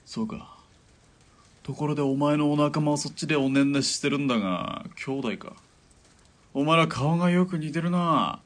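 An actor playing a hot-tempered, mocking killer and ex-gang boss in an audio drama, with rough slangy speech. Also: male voice, on the high side, normal speed, lightly acted. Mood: menacing.

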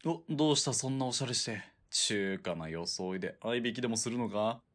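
A man acting in a Japanese radio drama as a gruff, blunt fugitive soldier who is guarded and fussy about appearances. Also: medium pitch, a little fast, close to an everyday voice. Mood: flustered. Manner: terse, blunt masculine speech.

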